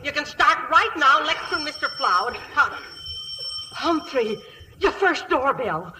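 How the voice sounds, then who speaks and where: Shrill-bright bossy frontier matron. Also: female voice, sing-song, a little slow, heavily acted. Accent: American. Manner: folksy dialect with affected refinement. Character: bossy, social-climbing frontier matron, gushing. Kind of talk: 1950s radio comedy acting. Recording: noisy old radio broadcast.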